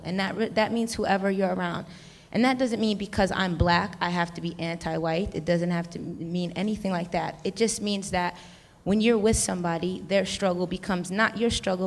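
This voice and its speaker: A woman's voice: lowish voice, gravelly, darkish tone. An American woman on a convention panel, talking earnestly and at length about music education, often restarting her sentences. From United States. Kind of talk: reflective panel discussion. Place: convention hall stage. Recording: long reverb of a large hall.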